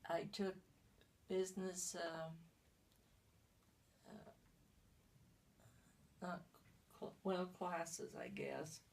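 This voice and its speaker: Slow, gravelly woman in her seventies. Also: lowish voice, a little mellow, a little hiss or hum. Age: 70s. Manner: hesitant, halting with many restarts. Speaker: interviewee. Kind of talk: casual interview answers. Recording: distant mic.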